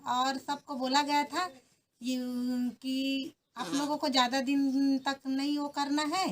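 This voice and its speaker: A woman with a high-pitched voice gives an earnest first-hand account in an interview. She speaks plainly, sometimes restarting a phrase. A faint hiss sits in the background. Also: little pitch movement, takes it slowly. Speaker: interviewee, rescued migrant worker. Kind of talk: recounting personal experience in an interview.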